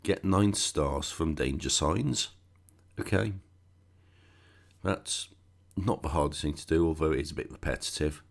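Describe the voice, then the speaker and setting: Croaky guy with a cold, really deep voice, rambling through a game walkthrough. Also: a little slow. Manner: chatty, rambling, sarcastic asides. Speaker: gaming channel host. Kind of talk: casual game walkthrough commentary.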